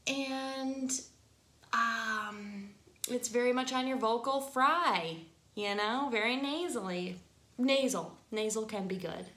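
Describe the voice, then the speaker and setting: A woman's voice: fairly high pitch, takes it slowly, sharp tone. Nasal Midwestern woman, chatty and echoey. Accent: Upper Midwest American. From northern United States. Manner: chatty, laughs easily, frequent fillers. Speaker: singing teacher. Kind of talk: casual instructional talk to camera. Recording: echoey room.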